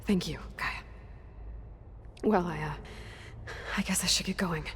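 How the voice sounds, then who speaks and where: A woman's voice: fairly low pitch, slow, whispered, big-room sound. A young woman acting an earnest, burdened but determined hero in a video game, in an understated and hesitant manner. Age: young adult.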